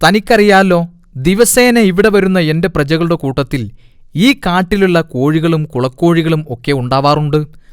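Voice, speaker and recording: A man's voice: a little high, normal speed, clear, resonant tone, no room sound, clean studio sound, close to an everyday voice. A man voicing a pompous, scheming cat king in a cartoon, with a commanding, self-important manner. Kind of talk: cartoon voice acting.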